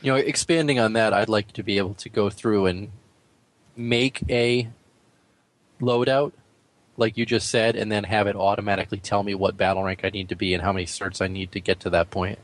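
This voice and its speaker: Male gaming podcaster, slightly deep, no room echo, casual and considered delivery. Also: fairly even intonation, brisk. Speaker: podcast co-host. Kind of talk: casual discussion about gaming.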